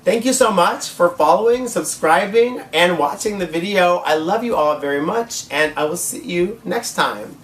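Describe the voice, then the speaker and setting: A hoarse man hosting a casual vlog, gushing and repeating words for emphasis as he talks to viewers. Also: high voice, lively intonation, moderate pace, few pauses, a bit bright, a little hiss or hum. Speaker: host. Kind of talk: casual manga haul vlog. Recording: echoey room, mic at a distance.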